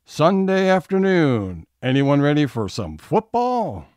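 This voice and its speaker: A man's voice: fairly high pitch, wildly swooping pitch, slow pace, darkish tone, no room sound, studio quality, exaggerated voice. A man playing a wry scene-setting narrator, reading scripted narration for a comedy audio play.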